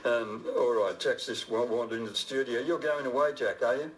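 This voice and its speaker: An older man with an Australian accent hosts a community radio show. His delivery is easygoing and gentle, rambling along in halting phrases as he chats live on air. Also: medium pitch, roomy sound, poor audio.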